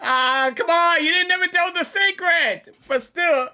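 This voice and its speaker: A woman's voice: very high voice, unhurried, sharp tone. A woman doing a casual, exclamatory reaction to a game as she talks back to it, music behind. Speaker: gaming reaction video creator. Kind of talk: casual excited gameplay reaction.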